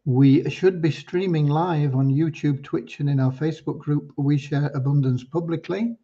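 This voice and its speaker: Guy hosting a livestream, mellow husky voice, earnest and unhurried, kinda muffled lo-fi sound. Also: on the high side, fairly even intonation. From Cornwall, UK. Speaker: livestream host and community leader. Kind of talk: informal livestream updates with devotional talk. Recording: dull lo-fi livestream audio.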